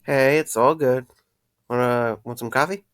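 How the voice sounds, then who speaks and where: A man's voice: mid-range voice, unhurried, clear, resonant tone, dry recording, lightly acted. An actor playing a tired, earnest young man who wants to reopen a pizzeria, talking with hesitant fillers. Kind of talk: fan audio drama acting.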